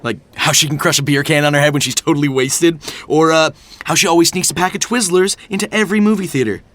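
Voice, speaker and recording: An actor playing a crude but well-meaning young buddy in a comic audio drama, rambling, blunt and slangy. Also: male voice, a little high, fast talker, fairly bright tone, dry recording, natural voice. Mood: eager, rambling. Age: young adult. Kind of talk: audio drama romantic comedy acting.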